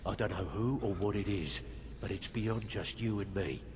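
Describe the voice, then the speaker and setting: A gruff, streetwise old hand of a thieves' den, acted by a man for a fantasy game. His voice sits low and he talks bluntly and knowingly, with superstitious conviction, over clear background noise. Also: moderate pace, natural voice. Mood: grave, determined. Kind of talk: video game character dialogue.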